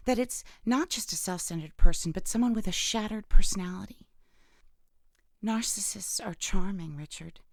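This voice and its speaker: An actress playing a bitter, wounded imprisoned wife in an audio drama monologue, speaking in a halting, intimate, emotionally charged way with a creaky voice. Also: female voice, mid-range voice, darkish tone, no room sound, clean studio sound, close to an everyday voice. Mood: hurt, accusing. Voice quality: gravelly, creaky. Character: bitter, wounded imprisoned wife who killed her abusive husband.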